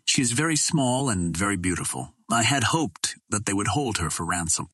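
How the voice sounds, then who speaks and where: A man's voice: fairly low pitch, sing-song, normal speed, a bit bright, breathy edge, dry recording, full character voice. Guy voicing a kind but defiant martian woman in an audiobook, earnest n impassioned, close dry sound. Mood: concerned. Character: compassionate, outspoken female green Martian, earnest and defiant.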